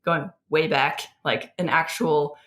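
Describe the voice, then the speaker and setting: A woman's voice: low-pitched, fairly even intonation, slow pace, a bit bright. An American woman co-hosting a podcast in casual, conversational talk. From southern New Jersey, United States. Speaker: podcast co-host. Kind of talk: casual chat.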